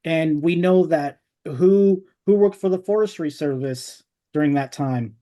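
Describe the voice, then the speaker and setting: A man's voice: on the high side, measured pace, dark tone. A man co-hosting a casual podcast conversation, agreeing often and chatting easily. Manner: agreeable, frequent affirmations. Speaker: podcast co-host.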